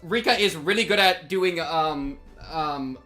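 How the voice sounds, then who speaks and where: A man's voice: fairly high pitch, a little slow, pushed and bright. A young man giving casual, slangy, goofy commentary on a game and reading it aloud. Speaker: game streamer. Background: game music.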